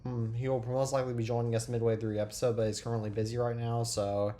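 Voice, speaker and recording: A man's voice: medium pitch, little pitch movement, fast talker, mellow, slight background noise. Chatty teenage boy hosting, under the weather. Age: teens. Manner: chatty, restarts sentences often. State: sick with a cold. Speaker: podcast host. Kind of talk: casual tech chat.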